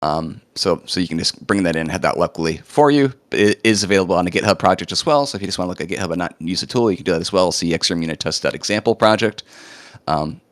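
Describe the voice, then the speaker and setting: A man presenting a live coding demo, chatting casually with lots of fillers, his voice a bit hoarse as he recovers from illness. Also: deep voice, fast, no room sound, studio quality. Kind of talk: live screen-share walkthrough.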